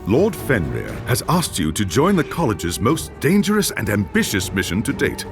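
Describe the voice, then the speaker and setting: A man's voice: fairly low pitch, wildly swooping pitch, normal speed, gravelly. A male narrator reading scripted game narration in a grave, storytelling manner. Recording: narration over music.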